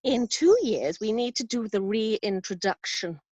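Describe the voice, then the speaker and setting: Woman playing a slow, measured saleswoman. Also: medium pitch, animated, a little mellow, slightly rough, dry recording. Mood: reassuring, professional. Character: smooth, reassuring saleswoman at a futuristic company. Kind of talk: scripted drama table read. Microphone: video call. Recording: slightly dull video-call audio.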